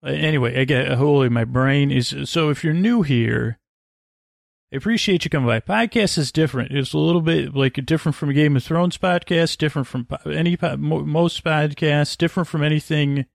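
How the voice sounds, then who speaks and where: A man hosting a sleep podcast in a rambling monologue, muttering and halting as he wanders off into tangents. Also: fairly high pitch, gravelly, no room sound, studio quality. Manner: meandering, halting, frequently trailing off into tangents. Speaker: podcast host.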